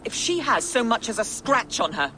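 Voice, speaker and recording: A woman's voice: fairly high pitch, very animated, moderate pace, pushed and bright, slight background noise, low-quality recording. An actress playing a proud Saxon noblewoman in a video game, biting and indignant as she speaks. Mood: angry, worried. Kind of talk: dramatic video game acting.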